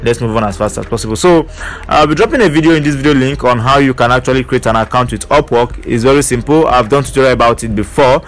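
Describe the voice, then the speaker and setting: A man's voice: medium pitch, quick pace, faint music behind. A man teaching an online earning method in a casual screen-share walkthrough, leaning on fillers like "basically" and "you know". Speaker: online money-making tutor.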